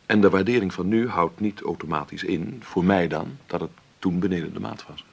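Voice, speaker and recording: An actor playing a wry, stubborn middle-aged film maker who talks haltingly and conversationally in a radio play interview. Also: male voice, sits low, vocal fry, husky, heavily acted. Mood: musing, modest. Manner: conversational, halting, adds tag questions. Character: celebrated 53-year-old film maker, wry, stubborn, self-made. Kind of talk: radio drama played as an interview.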